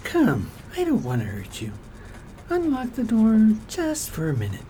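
A woman's voice: a little high, huge pitch swings, measured pace, dark tone, a little hiss or hum. A woman voicing a cowardly thirty-year-old male stalker, wheedling and sullen, in an amateur radio drama. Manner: wheedling then sullen and whiny.

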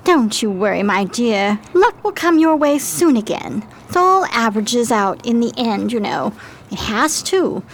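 A woman's voice: a little high, big pitch swings, unhurried, slight background noise. A woman playing a chic, coaxing gambling-parlor hostess in a 1920s period radio drama, gracious and sugary.

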